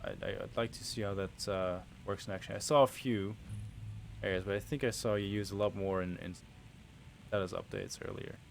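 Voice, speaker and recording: Dutch male streamer, low voice, laid-back rambling commentary, faint hiss behind. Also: fairly quick, creaky. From Netherlands. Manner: relaxed, rambling, chatting to viewers while playing. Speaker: game streamer. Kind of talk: casual livestream commentary.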